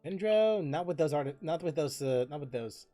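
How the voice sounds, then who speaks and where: A game streamer chatting casually while playing, thinking aloud, repeating phrases and breaking into loud exclamations. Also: medium pitch, animated, normal speed, soft background music. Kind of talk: casual livestream chat and gameplay commentary.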